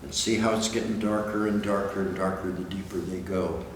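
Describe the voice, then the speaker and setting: An older man reading a picture book aloud, pausing to add explanatory asides for listeners. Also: low voice, steady tone. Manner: expository, points out pictures to listeners. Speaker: guest storybook reader. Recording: distant, very echoey hall, faint noise.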